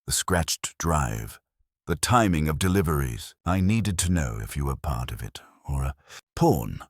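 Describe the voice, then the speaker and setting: A stern, guarded woman investigator in a narrated drama story, read by a man in his very low-pitched voice. She speaks in terse, deliberate phrases with controlled anger. The intonation swings widely and the sound is dry. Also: big pitch swings, no echo, exaggerated voice.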